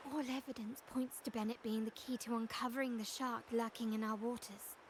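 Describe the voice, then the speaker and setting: Woman acting as formal game heiress, fairly high pitch, measured, composed, cool, noticeable background hiss. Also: steady tone, natural voice. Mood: grave, composed. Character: poised, formal heiress of a powerful family, cool and calculating.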